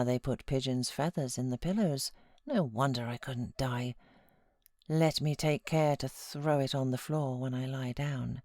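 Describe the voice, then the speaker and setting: A woman voicing a feverish, self-pitying young married gentlewoman from a classic novel, with dramatic outbursts and anguished pleading. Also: low-pitched, no room sound, clean studio sound. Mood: dreamy, wandering.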